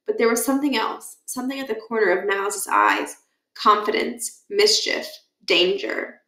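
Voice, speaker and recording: Bright-voiced woman reading aloud, echoey room. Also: mid-range voice, lively intonation, normal speed. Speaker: storyteller reading a children's book aloud. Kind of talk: expressive read-aloud narration with casual asides.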